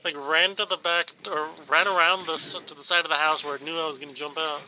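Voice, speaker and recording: Stuffy-nosed guy rambling over his gameplay, kinda high, super bright sharp voice, um um um. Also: level tone, fast talker, a little hiss or hum, poor audio. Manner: rambling, many ums and restarts. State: stuffed, runny nose. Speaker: gaming YouTuber. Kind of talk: casual let's play commentary.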